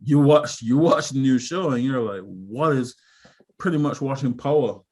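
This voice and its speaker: A man co-hosting a podcast, talking reflectively in casual chat with halting restarts. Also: mid-range voice, darkish tone. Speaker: podcast co-host.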